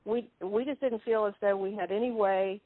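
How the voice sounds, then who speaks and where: A woman's voice: medium pitch, fairly even intonation, no room sound. Female lawyer on a dry, narrow phone connection, persistently arguing and restarting her sentences. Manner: halting, persistent, frequently rephrasing. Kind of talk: argumentative phone conversation. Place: conference phone call. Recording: narrow, tinny phone line.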